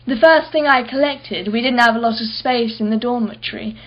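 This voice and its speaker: A woman acting a haughty, brittle society wife, higher-voiced. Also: fairly quick, highs cut off, lightly acted. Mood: reminiscing. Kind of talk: radio play acting.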